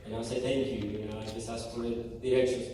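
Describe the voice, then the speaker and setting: A man from Guatemala with a Guatemalan Spanish accent, a native Spanish speaker, giving a halting church testimony full of fillers and restarts. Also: medium pitch, flat delivery, dark tone, a little hiss or hum. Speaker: mission team member giving a church report. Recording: echoey church hall from far off.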